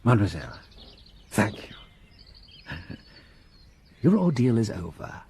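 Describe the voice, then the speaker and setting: An actor playing a shrewd, playful police inspector who chuckles and muses theatrically. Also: male voice, fairly low pitch, slow, breathy edge, heavily acted. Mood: curious. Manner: theatrical, chuckling, lively and musing. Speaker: detective. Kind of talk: radio drama acting.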